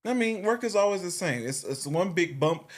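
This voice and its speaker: A man's voice: high-pitched, expressive, normal speed. A man in his thirties co-hosting a podcast, laid-back and teasing in casual chat. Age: 30s.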